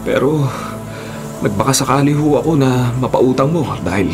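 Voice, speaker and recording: A man's voice: mid-range voice, moderate pace, over music, natural voice. An actor playing a humble, desperate father pleading for his sick child in a Filipino radio drama. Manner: pleading, deferential.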